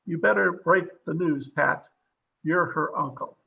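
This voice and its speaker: A man playing a stern, frustrated police captain in an old time radio drama, speaking with deliberate authority, recorded over a video call. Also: on the high side, gravelly, pushed and bright, exaggerated voice, poor audio. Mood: stern, weary.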